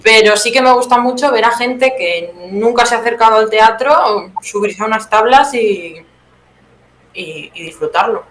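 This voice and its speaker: A woman's voice: fairly high pitch, moderate pace, a bit bright. A woman from Spain with a Spanish accent, giving reflective, self-correcting answers in a conversational interview. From Teruel, Spain. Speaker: guest playwright being interviewed. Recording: roomy echo, some background noise.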